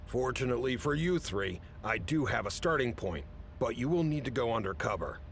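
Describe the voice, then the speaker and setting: A man acts out an authoritative chief in an audio drama, mid-pitched and businesslike. He lays out orders in a measured, matter-of-fact way. There is a faint rumble behind the voice. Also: big pitch swings, vocal fry, fairly bright tone. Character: gruff, businesslike male chief briefing agents on a mission. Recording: rumbly background noise.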